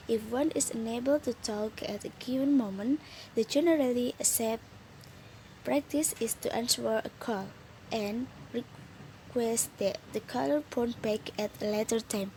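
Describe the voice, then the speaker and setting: A young woman reads a scripted student presentation. Her voice sits a little high, and her delivery is careful and slightly halting. There is light noise on the recording. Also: warm tone, low-quality recording. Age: young adult. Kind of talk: scripted lesson presentation, read aloud.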